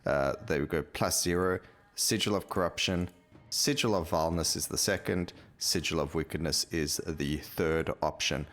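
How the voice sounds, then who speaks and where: Very deep-voiced male gaming commentator. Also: normal speed, fairly bright tone, faint music behind. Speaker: gaming video host. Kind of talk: casual gameplay commentary.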